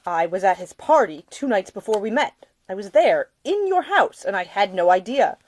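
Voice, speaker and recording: A woman voicing an earnest, blunt, smitten Victorian gentleman in a storybook reading. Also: mid-range voice, sing-song, normal speed, no echo, voice pushed up. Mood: stunned. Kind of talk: acted audiobook dialogue.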